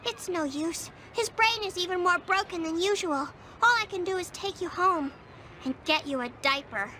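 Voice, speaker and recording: Very high-pitched earnest little cartoon girl. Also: moderate pace. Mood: resigned. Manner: earnest, concerned. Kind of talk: animated cartoon game acting. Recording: noisy game audio.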